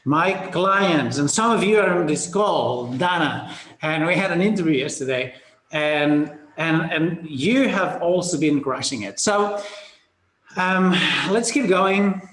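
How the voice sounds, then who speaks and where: A fairly high-voiced Spanish-accented man hosting a live online webinar over a computer mic, his voice ringing in a roomy, echoing space. He talks in a chatty, upbeat way, greets people by name and is full of fillers and quick restarts. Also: expressive, a bit bright. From Spain. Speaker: webinar host.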